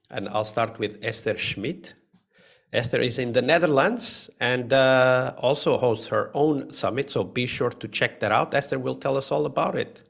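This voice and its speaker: A man's voice: mid-range voice, vocal fry. A man hosting a live online session, speaking casually with many fillers and restarts over a video call mic. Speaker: host.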